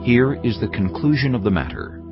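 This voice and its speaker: A man playing a grave, authoritative narrator in a dramatised audio Bible, gravelly and deliberate in his scripted reading. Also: medium pitch, big pitch swings. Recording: dull audio under loud music. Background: loud background music.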